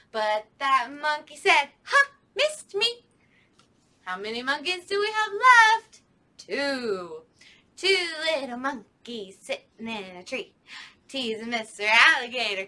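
A woman's voice: fairly high pitch, bright, forceful tone. A young woman, a children's librarian, leading a storytime with rhymes in a sing-song, playful way, recorded indoors in a library by a camera a few steps away.

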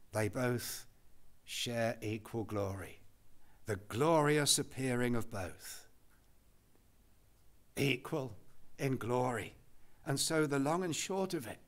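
An older man, preaching a measured expository sermon at a church lectern. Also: medium pitch. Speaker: pastor. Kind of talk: expository Bible study sermon. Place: church hall, indoors. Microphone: lectern microphone.

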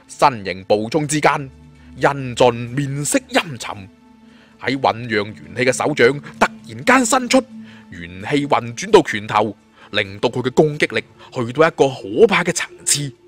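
A man with a Cantonese accent narrating a martial fantasy tale in a theatrical, colloquial audiobook style. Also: mid-range voice. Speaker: storyteller. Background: background music.

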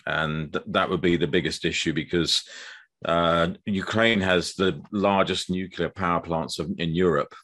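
Older English guest author, very low voice, measured video-call explainer. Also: male voice, fairly even intonation, fairly bright tone. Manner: measured, explanatory, with frequent ums. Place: dim room indoors.

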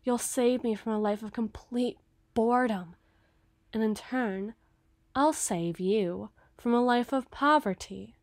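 Evil queen, played by a woman, ASMR roleplay: possessive, coldly flirtatious, theatrical. Slightly high voice, slow deliberate delivery. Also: dry recording, studio quality. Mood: coolly flirtatious. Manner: theatrical, imperious, menacing, deliberate.

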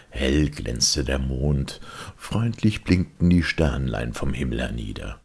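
A man reading a children's story as an audiobook narrator in an expressive, scripted style. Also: extremely low pitch, creaky, breathy edge.

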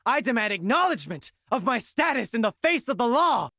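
An actor voicing a pompous, blustering villain who claims to be the true heir, in a theatrical video game style. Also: male voice, very high-pitched, big pitch swings, brisk, bright, forceful tone, dry recording, studio quality, heavily acted. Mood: indignant.

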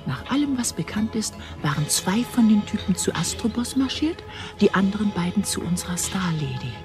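A woman's voice: medium pitch, big pitch swings, a little fast, music in the background, natural voice. Keen, confiding journalist played by a woman. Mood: urgent, confiding. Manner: confiding, colloquial. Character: keen, gossipy female newsroom journalist. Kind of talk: radio drama acting.

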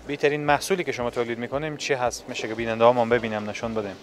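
A male TV reporter at a medium pitch. He is interviewing people on location, holding a microphone in a big indoor factory hall. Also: fairly even intonation, a little hiss or hum. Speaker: television reporter. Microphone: handheld reporter microphone.

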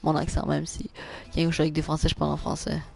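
A young man with a Québécois French accent, live-streaming a car soccer game, chatting with viewers and reacting loudly to plays. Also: a little high, fast talker, vocal fry. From Quebec. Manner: excitable, groans and repeats "non" at misses. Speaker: gaming streamer. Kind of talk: live gameplay commentary reading chat.

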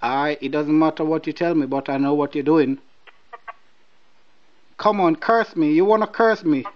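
A Nigerian man with a Nigerian accent, bluntly and mockingly confronting a scam caller in slow, deliberate speech. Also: on the high side, dull audio, slight background noise. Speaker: person baiting a scam caller. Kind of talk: confrontational phone call.

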